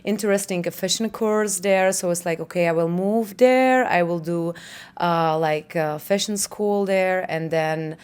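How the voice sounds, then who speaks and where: A woman's voice: on the low side, unhurried, a little mellow, clean tone. A woman from the Republic, a fashion designer answering interview questions in an earnest, spiritual way, often adding "you know" and restarting sentences. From Czech Republic.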